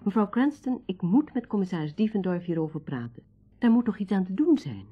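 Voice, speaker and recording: A woman's voice: mid-range voice, sing-song, fairly quick, breathy edge, dull audio. An actress playing a confident, capable woman who helps servants, in a Dutch radio drama. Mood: concerned.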